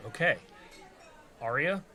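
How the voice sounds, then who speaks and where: A man's voice: fairly high pitch, animated, takes it slowly, sharp tone, some chatter behind, exaggerated voice. A man playing a young rogue and reluctant party leader in a comedy radio play, casual and persuasive. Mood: negotiating. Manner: casual, bargaining.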